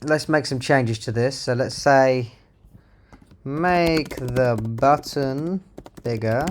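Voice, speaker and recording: A man with a mid-pitched voice talks through his programming in a casual, unscripted way. He sounds like a developer thinking out loud, musing half to himself as he works. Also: takes it slowly. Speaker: software developer presenting own project. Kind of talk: casual live-coding narration, thinking aloud.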